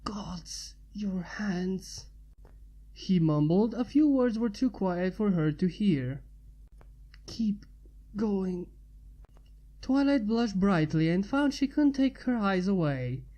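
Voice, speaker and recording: A woman's voice: medium pitch, very animated, unhurried, few pauses, dark tone. A woman reading a scripted story as its narrator. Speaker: fan fiction narrator.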